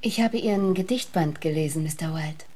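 An actress playing a flirtatious, sultry fiancée in a radio play, coquettish and gently mocking. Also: female voice, deep voice, a little fast, soft background music. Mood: sultry, curious. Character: seductive, teasing beauty, wealthy man's flirtatious fiancée.

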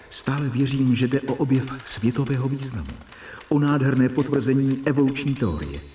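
A man's voice: medium pitch, a little mellow, slight background noise, close to an everyday voice. Earnest male medical assistant played by a male actor, radio drama diary monologue, roomy and distant.

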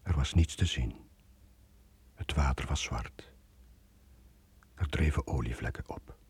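An actor playing a guilt-ridden middle-aged sailor in a radio play, with brooding, sombre narration. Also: male voice, very deep voice, a little slow, darkish tone, breathy edge, whispered, heavily acted. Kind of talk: dramatic radio play monologue.

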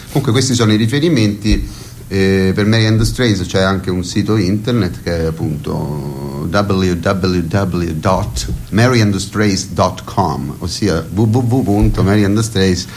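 A man's voice: low voice, measured pace, darkish tone, a little hiss or hum. A man talking casually as a performer interviewed on the radio, ironic and playful. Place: radio studio.

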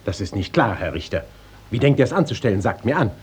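An actor playing a stern, dignified official who questions with measured authority and exasperation in a classical radio play. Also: male voice, fairly low pitch, sing-song, a little mellow, husky, full character voice. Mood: exasperated, commanding. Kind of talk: 1950s German classical radio play acting.

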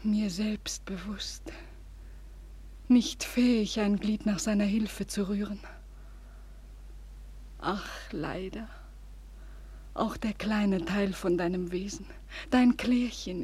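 Desperate young lover for classical radio tragedy: slow, intense delivery, extremely breathy, often sinking toward a whisper. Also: female voice, medium pitch, fairly even intonation, warm tone. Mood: despairing, devoted. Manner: slow, halting, intense declamation. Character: desperate, devoted young woman, lover of a condemned hero. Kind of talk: classical German radio drama monologue.

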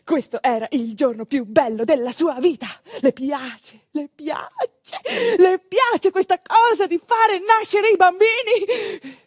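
A woman narrating a stage monologue as an actress, in an expressive, conversational and earthy storytelling manner. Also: very high-pitched, slow, no room sound.

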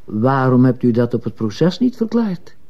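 A man playing an earnest, morally insistent missionary priest in a radio play, speaking solemnly and deliberately. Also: mid-range voice, sing-song. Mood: probing. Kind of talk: earnest Dutch-language radio play acting. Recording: muffled, dull old radio play recording.

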